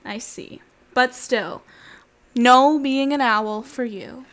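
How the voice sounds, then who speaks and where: A woman's voice: on the high side, takes it slowly, fairly bright tone. A woman voicing a sensible, patient, teasing parent frog in a children's storybook, firm and emphatic. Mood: blunt. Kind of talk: animated children's storybook read-aloud.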